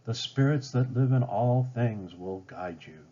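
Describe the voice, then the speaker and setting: A man voicing a wise, calm old female tree spirit giving advice in a storybook. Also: fairly low pitch, measured pace. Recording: dull lo-fi home recording.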